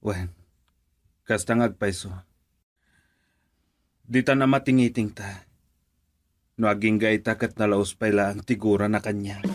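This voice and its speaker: An actor playing an easygoing but somewhat reluctant young husband in a radio drama. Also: male voice, fairly low pitch, steady tone, unhurried, pauses often, no room sound, lightly acted. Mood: hesitant, agreeable.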